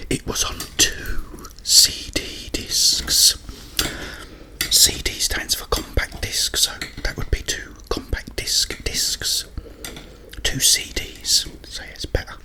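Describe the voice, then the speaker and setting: A man rambling in a breathy ASMR whisper, speaking in short, halting phrases with pauses. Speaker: ASMR creator and host. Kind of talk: whispered ASMR ramble about a video game.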